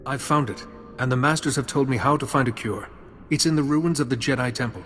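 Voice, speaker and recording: A man's voice: medium pitch, a little fast, a bit bright, in a large hall, faint music behind, natural voice. A man voicing an earnest, determined Jedi apprentice in a video game, sincere and formal.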